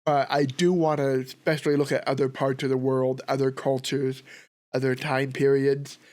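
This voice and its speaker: A man with a sore throat from a cold, hosting a history reaction with relaxed, rambling commentary. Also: mid-range voice, normal speed. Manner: relaxed, rambling, thinks aloud with fillers. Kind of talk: casual commentary.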